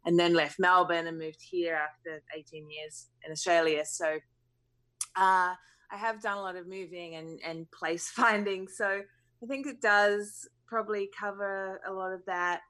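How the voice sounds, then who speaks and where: A musician in her thirties from New Zealand talks through her answers slowly and thoughtfully. She hesitates often and fills the gaps with ums and "you know". Her voice sits fairly low. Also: steady tone, fairly bright tone. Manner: thoughtful, hesitant, many fillers. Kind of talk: interview answers.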